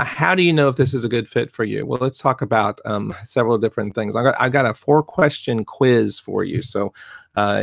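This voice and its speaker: Soft-spoken man with a gravelly edge. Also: medium pitch, dry recording, clean studio sound. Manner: rambling, many fillers and restarts. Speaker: fluid art business coach. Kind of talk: casual live-stream talk. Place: cluttered home art studio, indoors. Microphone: desk mic in front of webcam.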